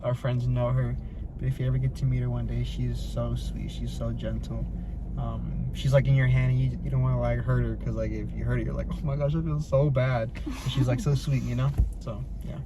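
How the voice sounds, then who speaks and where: A man chatting casually as co-host, relaxed and slangy. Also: mid-range voice, a little fast. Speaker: co-host answering Q&A. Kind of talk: casual couple chat. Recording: noisy background hum.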